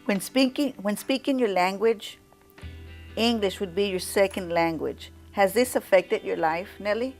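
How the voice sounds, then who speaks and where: A woman's voice: mid-range voice, a little slow, faint music behind. A woman student interviewing a classmate, politely asking questions and restarting them midway.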